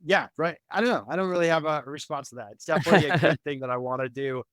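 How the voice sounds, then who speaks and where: A man in his thirties, an entrepreneur guest on a podcast, talking candidly and quickly with lots of fillers. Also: a little high, fairly bright tone, no room sound, clean studio sound. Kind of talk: conversational interview.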